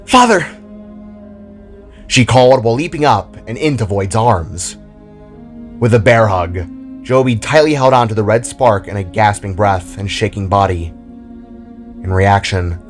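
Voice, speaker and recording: A man's voice: fairly low pitch, moderate pace, faint music behind. A man reading scripted fantasy fiction as a storyteller. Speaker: narrator. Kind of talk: scripted story narration with character voices.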